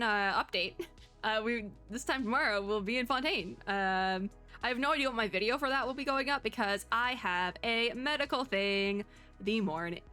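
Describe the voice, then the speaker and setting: Female gaming reactor, bright tone, casual and excitable, giggly rambling delivery over faint music. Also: medium pitch. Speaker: gaming vlogger reacting to game trailers. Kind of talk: casual reaction commentary to viewers.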